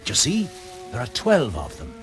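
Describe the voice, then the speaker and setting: Radio drama schoolteacher, mid-pitched, urgent and knowing, animated delivery over music. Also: male voice, normal speed. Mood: intense, explaining. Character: tense, knowing schoolteacher guiding a companion through danger. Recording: music under the voice.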